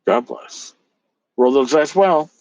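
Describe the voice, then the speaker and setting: A man's voice: fairly high pitch, takes it slowly, darkish tone. A man hosting a solo podcast in a casual, blunt, sarcastic and sometimes profane way. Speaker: podcast host. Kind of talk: casual solo podcast with dramatic reading aloud.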